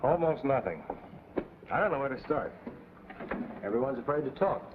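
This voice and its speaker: An actor playing a sober, worried undercover agent, earnest and terse, in 1950s TV drama style. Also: male voice, medium pitch, lively intonation, normal speed, close to an everyday voice. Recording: tinny, noisy 1950s TV soundtrack.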